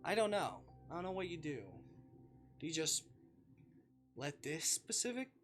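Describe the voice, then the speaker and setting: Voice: mid-range voice, animated, normal speed. A game streamer commentating on a visual novel live, swinging expressively between muttering and exclaiming. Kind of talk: gaming livestream commentary, reading game text aloud. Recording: music behind the voice.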